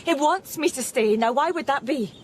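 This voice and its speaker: A woman's voice: sits high, moderate pace, slight background noise, close to an everyday voice. A woman acting in a radio drama as a grieving mother, bitter, distraught and stubborn. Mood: bitter grief.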